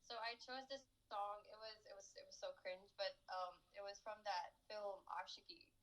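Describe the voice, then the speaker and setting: A woman's voice: mid-range voice, steady tone, moderate pace, a bit bright, rough recording. A young woman from Pakistan, a singer-songwriter telling stories as a guest in a casual interview, full of fillers. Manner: rambling storytelling with frequent fillers.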